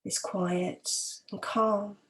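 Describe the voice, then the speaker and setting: A woman leading a guided relaxation meditation as a hypnotherapist, calm, gentle and measured, with long pauses and a soft, sometimes breathy voice. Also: mid-range voice.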